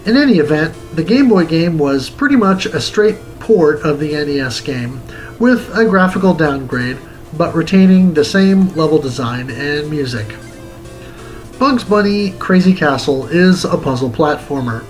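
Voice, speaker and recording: A man hosting a retro game review, reading a wordy script in a measured, presenter-like way. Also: fairly high pitch, expressive, low-quality recording. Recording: music bed under the voice. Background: music.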